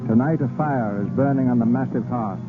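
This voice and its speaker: A man's voice: medium pitch, brisk. A man giving scripted dramatic narration as the narrator of a vintage radio drama. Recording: tinny old radio broadcast, loud music.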